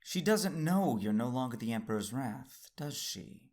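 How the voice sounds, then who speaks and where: A man playing a calm, teasing Sith husband in an audio drama, speaking in an unhurried, wry way. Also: mid-range voice, close to an everyday voice.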